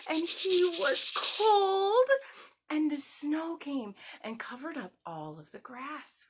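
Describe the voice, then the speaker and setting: A woman's voice: high voice, noisy background. A woman hosting story time for young children, telling tales expressively in simple words.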